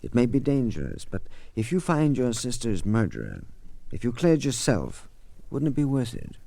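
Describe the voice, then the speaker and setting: Gravelly, swooping old grandfather. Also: mid-range voice, wildly swooping pitch, normal speed, warm tone, dry recording. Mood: earnest, gentle. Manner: earnest, courtly, theatrical. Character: detective disguised as anxious, gentle old grandfather. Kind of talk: 1940s American radio detective drama acting.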